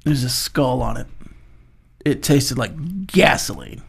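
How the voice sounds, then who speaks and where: A man co-hosting a casual sports talk show, rattling off schedules with animated banter. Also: mid-range voice, slow, creaky, slightly rough.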